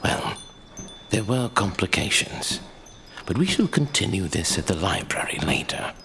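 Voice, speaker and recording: An actor playing a scholarly archivist in a fantasy audio drama, telling old legends in a theatrical, savoring manner. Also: male voice, low-pitched, sing-song, unhurried, heavily acted. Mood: solemn storytelling. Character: scholarly male Lord Archivist, fond of drink, recounting sad legends.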